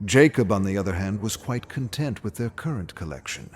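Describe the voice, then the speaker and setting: A man narrating a scripted fairy tale as a storyteller, with a dramatic, measured delivery. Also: lowish voice, animated.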